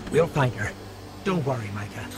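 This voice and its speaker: An actor playing a small, loyal robot companion in a video game, earnest in manner. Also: male voice, mid-range voice, expressive, normal speed, noisy background, lightly acted. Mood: reassuring, alert. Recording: loud music over the voice.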